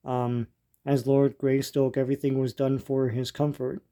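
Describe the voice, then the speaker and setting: An older man giving casual, unscripted show-and-tell commentary as a host, in a halting, musing and informal way. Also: mid-range voice, level tone, warm tone. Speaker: host leafing through vintage comic strips.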